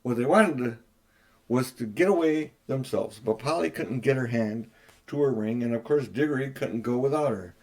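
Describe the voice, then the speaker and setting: A man's voice: mid-range voice, normal speed, a little mellow. Older man reading a storybook. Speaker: audiobook narrator. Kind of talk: reading a novel aloud.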